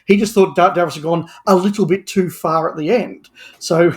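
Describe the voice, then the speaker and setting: A man's voice: sits high, fairly even intonation, normal speed. A man giving a casual review as a podcast guest, making articulate, thoughtful points at length.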